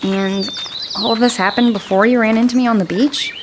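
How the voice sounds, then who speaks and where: A woman's voice: on the high side, steady tone, a little fast, background noise, lightly acted. An actress playing a feisty young woman swordfighter in a fantasy audio drama, spirited and dramatic. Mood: relieved, puzzled. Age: young adult.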